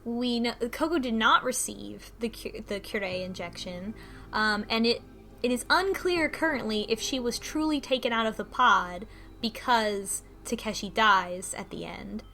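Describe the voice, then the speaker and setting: A woman's voice: fairly high pitch, a little slow, bright, forceful tone. A woman casually recapping a visual novel on a podcast, with lots of ums and restarts. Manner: rambling, many ums and restarts, laughs often.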